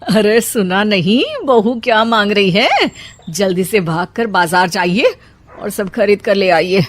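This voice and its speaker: A woman's voice: mid-range voice, unhurried, close to an everyday voice. A woman voicing a scheming, anxious middle-aged mother-in-law, dramatic and bossy, in a storybook tale.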